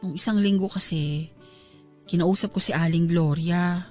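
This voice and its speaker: A woman's voice: lowish voice, steady tone, moderate pace, a little mellow, lightly acted. An actress playing a young pregnant woman in a Filipino radio drama, polite, earnest and pleading, with faint music underneath. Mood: worried. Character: pregnant young woman, kind worried neighbor.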